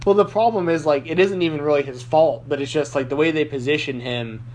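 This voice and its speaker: Man, podcast host, rambling casual chat, fairly high voice, faint hum behind. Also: fairly quick, a little mellow. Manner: rambling, lots of likes and restarts. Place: Nashville.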